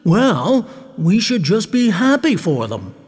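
A man voicing a calm, mild, reassuring baron husband in a storybook tale. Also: sits high, takes it slowly, slightly rough, long reverb tail, voice pushed up, full character voice. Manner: placid, soothing. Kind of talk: storybook character voice.

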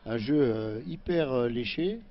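A man, a former player, giving measured football analysis with lots of hesitant fillers. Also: mid-range voice, expressive, dull audio.

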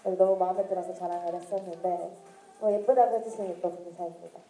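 A woman's voice: lowish voice, fairly even intonation, fast, warm tone. A young woman in her twenties, a singer chatting and telling stories to fans at a signing event, giggling often. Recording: echoey event hall.

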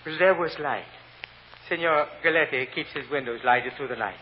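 A man playing a humble, earnest immigrant grocery clerk with a Spanish accent, testifying in halting, deferential broken English in a vintage radio drama. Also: fairly high pitch, natural voice. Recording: muffled old radio recording, hiss, long reverb.

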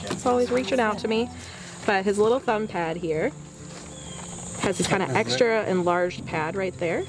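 A woman's voice: mid-range voice, moderate pace, noisy background. A young woman, a field researcher, giving an informal explanation on site outdoors. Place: outdoors in woodland.

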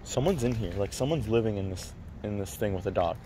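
A man's voice: on the low side, a little fast, warm tone. A young man chatting casually and slangily while exploring outdoors. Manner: slangy, sweary. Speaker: urban explorer vlogger. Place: outdoors walking among townhouses in snow. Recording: noisy outdoor camera audio with clicks.

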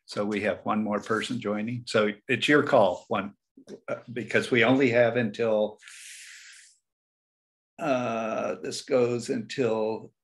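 An older man, a professor, facilitating a session on a video call in a home study, rambling and halting. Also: on the low side, fairly even intonation. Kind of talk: casual video call talk. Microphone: webcam on video call.

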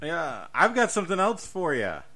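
A man's voice: a little high, wildly swooping pitch, moderate pace, pushed and bright. A man co-hosting a podcast, chatting casually about horror films with showy emphasis. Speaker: podcast co-host. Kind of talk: casual horror film chat.

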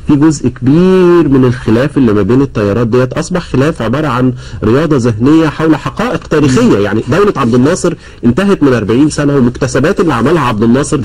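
A man in his thirties hosts a studio political talk show, speaking Arabic with an Egyptian accent. His voice is slightly husky and he talks quickly, posing measured questions to his guests over a clip-on mic. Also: mid-range voice, a little mellow, poor audio. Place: TV studio, indoors. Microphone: lapel mic.

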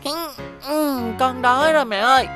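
A woman dubbing an excitable young cartoon boy with a high voice. He chatters eagerly and quickly gets carried away. Loud background music plays under his lines. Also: natural voice. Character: playful, careless young boy.

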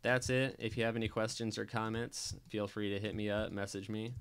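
Male tutorial presenter, lowish clean voice, informal and instructional, walking through steps casually. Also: flat delivery, brisk, fairly bright tone, clean tone. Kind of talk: casual software tutorial narration.

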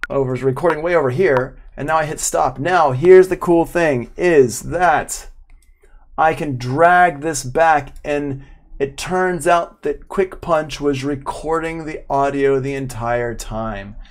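A man in his forties talks like an instructor on a live online class. His delivery is informal and enthusiastic, in a voice a touch higher than most men's. He explains things casually as he demonstrates them. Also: slow. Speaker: audio production instructor. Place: small room at home, indoors.

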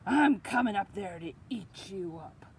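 Woman playing a loud, mean storybook troll. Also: medium pitch, lively intonation, recorded from a distance, a little hiss or hum. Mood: menacing. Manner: loud drawn-out demands and threats. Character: mean, menacing troll under a bridge.

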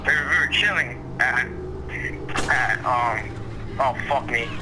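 A man's voice: mid-range voice, takes it slowly, background noise. A young man telling stories casually to friends in a rambling, slangy, profanity-heavy way. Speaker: storyteller in a gameplay video with friends. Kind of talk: casual storytelling chat.

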